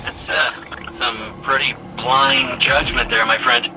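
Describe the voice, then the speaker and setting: An actor playing a nervous, eager-to-please defector robot in a game cutscene, chatty, evasive and stammering. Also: male voice, medium pitch, level tone, takes it slowly, natural voice, low-quality recording. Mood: uneasy, defensive. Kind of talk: video game cutscene acting. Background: loud background music.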